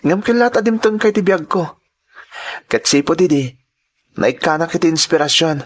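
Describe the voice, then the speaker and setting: Man acting earnest young Ilocano student, lightly high voice. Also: expressive, moderate pace. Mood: solemn, heartfelt. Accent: Filipino, Ilocano. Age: young adult. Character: earnest, grateful young male working student. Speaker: drama actor. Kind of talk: sentimental radio-style drama acting.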